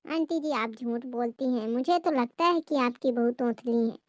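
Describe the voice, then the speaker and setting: A woman's voice: on the high side, normal speed, dry recording, studio quality, natural voice. A woman playing a nosy, blunt young female relative, prying in a family comedy skit. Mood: insistent.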